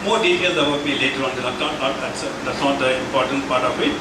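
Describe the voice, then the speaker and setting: Middle-aged man, Indian English accent, halting talk with fillers, far mic with room noise. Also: mid-range voice. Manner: measured, with many pauses and fillers. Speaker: speaker. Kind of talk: public talk to a senior citizens' forum. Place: meeting hall. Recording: echoey hall, mic from far off, background noise.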